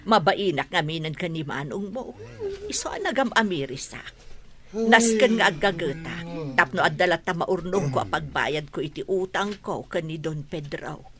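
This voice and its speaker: A woman's voice: on the low side, expressive, normal speed, over music. An actress playing a worried, caring village mother burdened by debt in an Ilocano radio drama. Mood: weary, worried.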